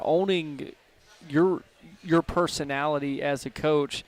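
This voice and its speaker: A man hosting a live sports radio show from a restaurant, asking long, friendly questions. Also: fairly high pitch. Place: restaurant broadcast.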